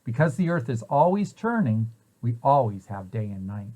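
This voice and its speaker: A man's voice: mid-range voice, big pitch swings, moderate pace, dark tone, lo-fi sound. A man reading a children's picture book aloud, posing questions and answers in a storytelling way for young listeners. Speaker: preschool site director reading aloud.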